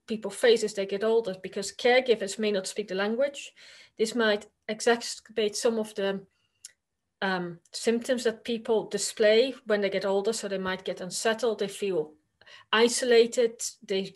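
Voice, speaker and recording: A woman with a Dutch accent presents research to a virtual conference, her first language being Dutch. She talks loosely and conversationally, often pausing on fillers as she finds her words. Her voice sits at a middling pitch and comes through a computer microphone. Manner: conversational, hesitant, with frequent fillers.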